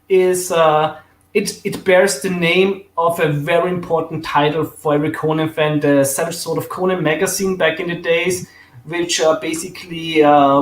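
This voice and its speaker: A man with an Austrian accent chats eagerly about comics, his voice sitting a little high. He loses his place now and then, starts over and laughs easily. The room around him gives a roomy echo. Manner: enthusiastic, fillers and restarts, laughs easily. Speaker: guest. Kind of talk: casual chat about comics.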